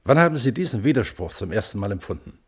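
Exacting, low-pitched inquiry examiner. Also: male voice, very animated, quick pace, breathy edge. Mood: exacting, sceptical. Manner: precise, formal questioning. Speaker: questioner at a hearing. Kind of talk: German radio play, formal hearing.

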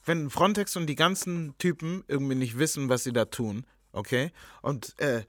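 An actor playing a cocky, indignant smuggler with a colloquial, provocative manner in a radio play. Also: male voice, medium pitch, moderate pace, no room sound, studio quality, lightly acted. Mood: indignant, casual. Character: cocky, indignant male smuggler, casual self-styled helper and philosopher.

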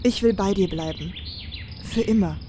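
A woman playing a caring, resolute young female companion and lover in an audio drama, speaking in soft, affectionate dialogue. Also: mid-range voice, normal speed, noisy background, lightly acted.

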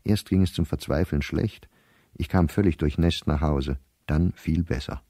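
An actor playing an embittered, anxious middle-aged professor reading his diary in a radio play, in a measured, weary manner. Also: extremely low pitch, mellow, no room sound, clean studio sound. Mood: bitter, wry. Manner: measured, weary, dropping to near-whisper at grim thoughts. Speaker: diarist narrator.

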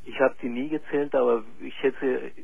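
A man in his forties from Chile, calling in to a radio show and speaking haltingly with many pauses and fillers. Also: medium pitch, steady tone, creaky, breathy edge, no echo, phone-quality sound. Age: 40s. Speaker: caller. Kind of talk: call-in radio conversation.

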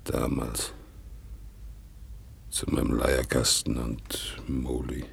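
An actor playing a broken old ex-convict, humble and bitter, talking haltingly in a radio play. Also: male voice, a bass voice, monotone, heavily acted. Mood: wistful, resigned. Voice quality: gravelly, husky. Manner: halting, slow, musing. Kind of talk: 1960s German radio play acting.

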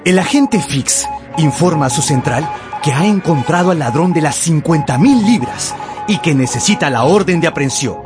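A man's voice: fairly high pitch, animated, moderate pace. A man narrating a radio drama with theatrical, emphatic storytelling. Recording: music behind the voice.

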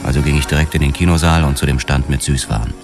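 An actor narrating a noir radio drama as a world-weary police detective, terse, grim and sober. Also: male voice, rumbling low, fairly even intonation, fast talker, music in the background.

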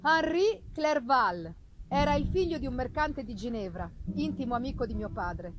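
High-pitched woman giving a theatrical reading. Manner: theatrical, expressive, with deliberate pauses. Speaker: reader performing a literary text. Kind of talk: dramatic live reading of a gothic novel.

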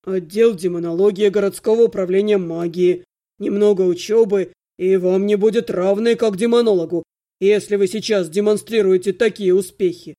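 A woman voicing a businesslike male mage investigator in an audiobook, speaking in an official, measured way. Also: mid-range voice, steady tone, dark tone, pitched below the performer's own voice, lightly acted. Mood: courteous. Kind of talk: audiobook character acting.

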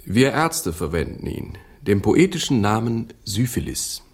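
An actor playing a cynical English physician of centuries past in a German radio drama, delivering dry, mocking pronouncements. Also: male voice, on the low side, big pitch swings, a little slow. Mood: sardonic. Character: cynical, sardonic English physician of Shakespeare's day.

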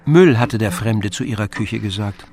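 A man's voice: lowish voice, moderate pace, a little mellow. A man narrating a scripted literary story as the storyteller of an audio drama. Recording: music under the voice.

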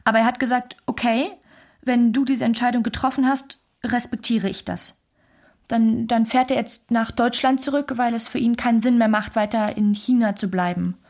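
An actress plays an earnest woman telling the story of her relationship in a radio play. Her voice sits fairly high, and she speaks in a measured, reflective way. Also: steady tone, darkish tone, lightly acted. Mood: earnest, regretful. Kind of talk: documentary radio drama monologue.

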